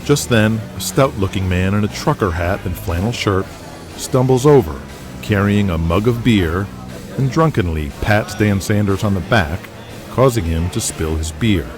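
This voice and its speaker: A man's voice: fairly low pitch, animated, moderate pace. A man narrating a scripted audio drama as the storyteller. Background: music with guitar.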